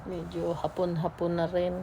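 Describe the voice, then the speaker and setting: A woman's voice: lowish voice, monotone, moderate pace, background noise. A Filipino woman narrating a casual vlog, speaking politely and informally and mixing in English phrases. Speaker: vlogger.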